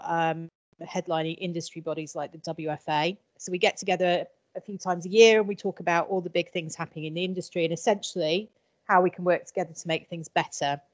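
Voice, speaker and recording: A woman's voice: lowish voice, dry recording. A woman on a panel explaining her job in an orderly, conversational way. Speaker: company employee, panelist.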